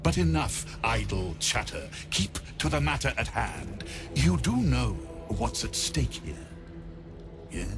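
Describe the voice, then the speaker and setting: An actor playing a pompous, scheming necromancer in a video game, condescending and theatrical in his deliberate speech. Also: mid-range voice, big pitch swings, creaky, over music, full character voice. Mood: dismissive. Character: pompous, scheming male necromancer, haughty and contemptuous.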